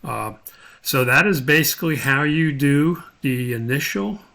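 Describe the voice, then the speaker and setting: A man's voice: on the high side, lively intonation, a little slow, creaky, pushed and bright. A man giving an informal screen tutorial as an instructor, thinking aloud step by step as he demonstrates.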